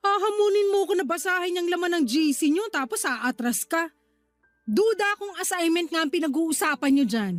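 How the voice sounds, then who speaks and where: A woman's voice: very high voice, animated, moderate pace, soft background music. A woman acting a strict, nagging older sister in her thirties in a Filipino radio drama, scolding and impatient. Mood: challenging, exasperated.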